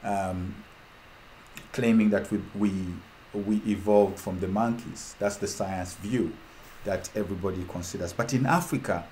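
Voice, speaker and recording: A man's voice: lowish voice, measured pace, a little mellow, slight background noise. A man from Uganda hosting a casual talk with an online audience. He speaks with fervent, repetitive rhetoric and trails off with ums. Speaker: host.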